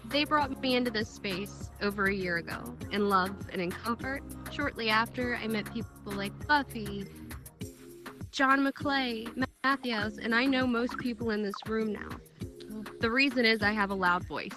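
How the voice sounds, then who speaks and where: A woman's voice: fairly high pitch, normal speed, music in the background. A woman giving a direct, earnest talk as a speaker at a virtual event. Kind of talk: prepared speech at a virtual event.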